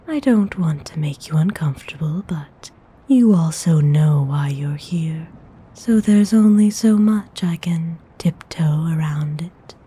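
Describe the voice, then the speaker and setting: A woman voicing a gentle, courteous vampire noblewoman in an ASMR roleplay, whispering with breathy, husky, tender formality. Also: lowish voice, exaggerated voice. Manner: slow, hushed, tenderly formal.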